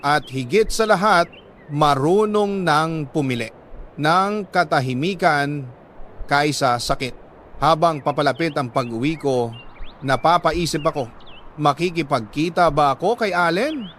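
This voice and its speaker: A Filipino man with a slightly high voice tells a dramatic first-person story in the manner of a radio letter reader. His delivery is measured and emotive, with deliberate pauses between short phrases. Some background noise sits behind the voice. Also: a bit bright.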